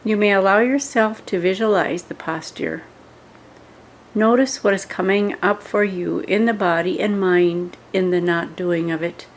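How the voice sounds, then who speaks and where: A woman giving scripted guided yoga meditation instructions in a measured way, pausing between short phrases. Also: medium pitch, slight background noise.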